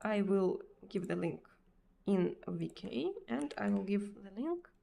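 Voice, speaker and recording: A woman's voice: mid-range voice, lively intonation, unhurried, vocal fry, mellow, slight background noise. A Russian woman streamer speaking English with a Russian accent in casual chat, hesitant, with many fillers and repeated words. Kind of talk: casual chat answering viewers.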